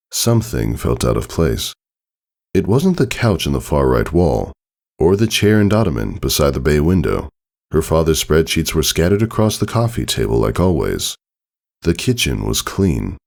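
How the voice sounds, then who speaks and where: A man narrates fiction in a very low voice with a creaky edge. His delivery is measured and dramatic, moving expressively through the lines over background music. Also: a little mellow. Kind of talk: scripted story narration. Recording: music under the voice.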